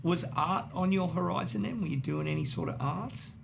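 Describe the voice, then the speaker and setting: A man hosting a podcast, relaxed and casual, dropping lots of short yeahs. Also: sits high, normal speed, creaky, noisy background. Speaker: podcast host. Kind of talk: casual interview chat.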